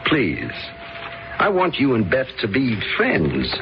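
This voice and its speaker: A man's voice: medium pitch, wildly swooping pitch, slow, highs cut off, slight background noise, full character voice. An actor playing a patient father and husband who reassures his wife in a radio drama. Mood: reassuring. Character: middle-aged husband and father, gentle. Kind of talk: 1970s radio drama acting.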